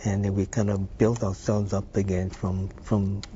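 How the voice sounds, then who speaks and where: An older man from Hawaii recalls his childhood in an unhurried, reflective voice. His tone is low and mellow. The audio is dull and lo-fi, with faint noise in the background. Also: level tone. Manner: unhurried, thoughtful storytelling with filler words. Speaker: interview guest. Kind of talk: reminiscing interview.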